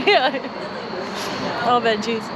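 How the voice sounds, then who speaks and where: A woman's voice: a little high, very slow, very noisy. A woman chatting casually on a vlog in an easygoing, giggly way. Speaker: vlogger.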